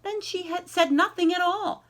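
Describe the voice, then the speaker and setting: A woman reading a children's storybook aloud and chatting to young listeners, expressive and encouraging, with stretched key words and dramatic pauses. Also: very high voice. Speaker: library read-aloud host.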